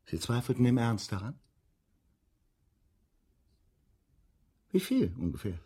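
A husky, probing police inspector. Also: male voice, medium pitch, sing-song, a little fast, dark tone. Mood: puzzled, probing. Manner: probing, prompting with short questions. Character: probing male police inspector questioning a suspect. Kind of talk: German radio drama acting.

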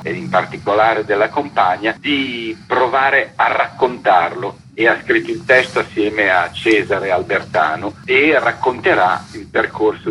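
A low male voice in a radio interview, explaining things step by step with pauses to find words. The sound is dull and lo-fi, with noise behind it. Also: fairly bright tone. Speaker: theatre festival organiser being interviewed.

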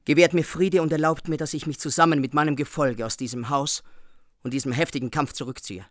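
An actor playing a noble lord in a radio play, formal and courteous with an urgent edge. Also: male voice, on the high side, steady tone, a little fast, fairly bright tone, no echo, close to an everyday voice. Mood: formal appeal.

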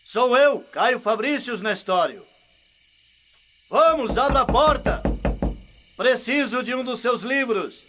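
A man's voice: extremely high pitch, animated, slow pace, long pauses, noisy background, heavily acted. A man playing a teasing Roman nobleman. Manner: teasing, laughing, calls out loudly. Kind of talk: radio drama acting. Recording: lo-fi audio, chirping crickets.

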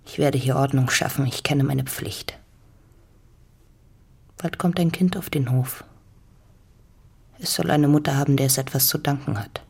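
A woman's voice: a bass voice, steady tone, unhurried, vocal fry, long pauses, darkish tone, husky, heavily acted. An actress playing a hard, domineering rich farm woman in a literary radio drama, stern, commanding and scornful. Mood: stern, imperious.

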